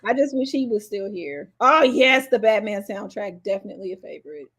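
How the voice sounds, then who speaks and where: A woman co-hosting a podcast, chatting casually and telling personal stories in a playful, expressive way. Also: mid-range voice, brisk, slightly rough, soft background music. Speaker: podcast co-host. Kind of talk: casual chat, personal storytelling.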